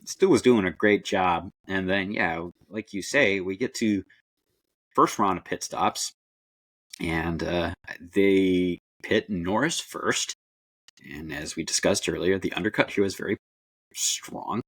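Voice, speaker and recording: A man co-hosting a podcast, giving casual, measured analysis of a sporting event. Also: low voice, a bit bright, breathy edge, dry recording. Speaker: podcast co-host.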